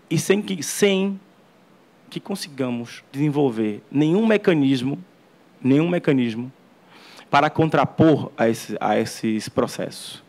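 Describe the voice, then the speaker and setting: Guy lecturing in an auditorium, earnest, keeps restarting words. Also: a little high. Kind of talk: academic lecture. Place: university auditorium.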